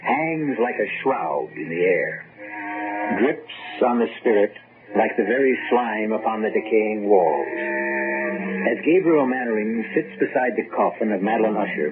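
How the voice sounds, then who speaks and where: A man's voice: lowish voice, lively intonation, unhurried, background noise. A man as the ominous host of a radio horror tale, narrating in a grave, portentous, scripted style. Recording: muffled phone-like radio recording.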